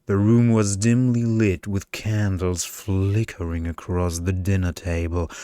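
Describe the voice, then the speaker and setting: Deep, slow-reading male ASMR storyteller. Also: mellow, no echo, clean studio sound. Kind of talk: ASMR bedtime story narration.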